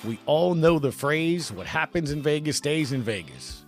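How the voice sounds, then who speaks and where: Higher-voiced American male sports podcast host. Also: big pitch swings, moderate pace, soft background music. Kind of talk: scripted, upbeat sports podcast hosting.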